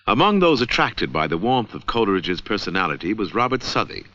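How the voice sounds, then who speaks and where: A man's voice: fairly low pitch, sing-song, a little fast, bright, forceful tone, no echo. A man giving scripted narration for an educational film. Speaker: documentary narrator. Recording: dull old 16mm film soundtrack.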